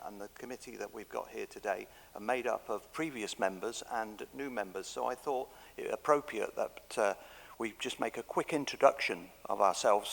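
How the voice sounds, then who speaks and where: A man's voice: mid-range voice, creaky, a bit bright. An English man with a hesitant, filler-heavy way of speaking, chairing a council committee in a council chamber. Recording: reverberant chamber, table mic.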